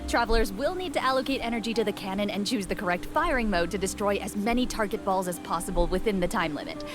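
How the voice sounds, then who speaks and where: A woman's voice: medium pitch, fast talker. A woman co-presenting game news, explaining from a script with playful banter. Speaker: co-presenter on a game update broadcast. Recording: loud music behind. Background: music.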